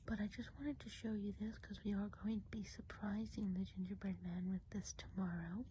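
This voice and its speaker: A woman playing a kindly, whimsical woodland witch in an ASMR role play, whispering softly, breathily and gently. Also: mid-range voice, lightly acted, low-quality recording. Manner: slow, gentle, unhurried explaining. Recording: faint background noise.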